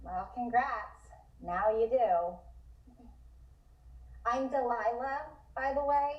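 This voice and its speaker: A woman's voice: fairly high pitch, slow. A woman playing a sassy, guarded but friendly young woman at a script table read. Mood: teasing, breezy. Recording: muffled, echoey room.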